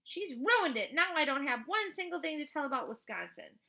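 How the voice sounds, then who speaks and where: A woman voicing an indignant young schoolgirl from a children's storybook, protesting in a loud whine. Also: high-pitched, very animated, moderate pace, pitched above the performer's own voice, full character voice. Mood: outraged. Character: indignant young schoolgirl, easily outraged classmate.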